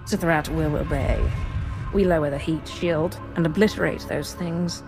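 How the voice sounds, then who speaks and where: A woman's voice: low voice, normal speed, music in the background. Cold, imperious female Sith lord. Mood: cold, commanding. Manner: haughty, commanding, menacing. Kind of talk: scripted video game acting.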